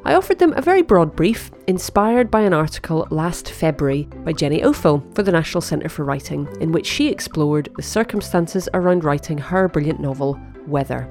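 Low-voiced woman presenting a podcast. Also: darkish tone. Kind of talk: scripted introduction.

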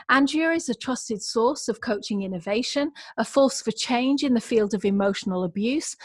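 A woman's voice: a little high, no room sound. A woman hosting a podcast, introducing and interviewing her guest with enthusiasm. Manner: enthusiastic, warm praise and laughter. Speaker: podcast host.

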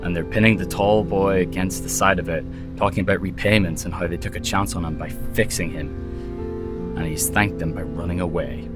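A man acting as a world-weary mercenary with a low voice, wry and sardonic, haunted by a lost love. He talks like someone telling his own story at a remove, in an intimate audio drama with guitar music underneath. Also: natural voice. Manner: sardonic, storytelling about himself in third person. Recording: music behind the voice.

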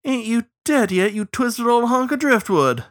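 Guy doing a brash old lady crow, high bright voice, ribbing, storybook character. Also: very animated, measured pace, no echo, studio quality, heavily acted. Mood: gleeful ribbing. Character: brash, grinning old female crow traveller.

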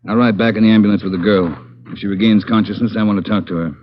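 An actor playing a curt police captain who gives orders in a 1940s radio crime drama. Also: male voice, lowish voice, fairly even intonation, fast, a little hiss or hum, natural voice. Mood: commanding. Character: gruff police captain, commanding. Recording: dull lo-fi 1940s radio broadcast.

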